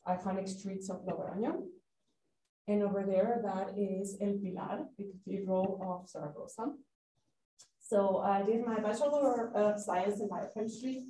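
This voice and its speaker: A woman's voice: medium pitch, warm tone. A woman in her thirties from Spain, whose first language is Spanish, giving a friendly public science lecture and asking the audience questions. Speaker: guest lecturer, postdoctoral researcher. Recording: roomy hall, distant mic, light noise.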